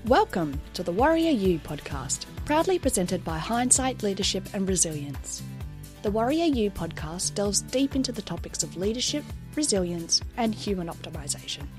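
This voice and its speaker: A woman's voice: medium pitch, very animated, normal speed, darkish tone. Aussie woman doing the podcast intro, bouncy voice, bit of music behind. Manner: upbeat, promotional read. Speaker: podcast announcer. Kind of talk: scripted show intro. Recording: voice-over with music bed.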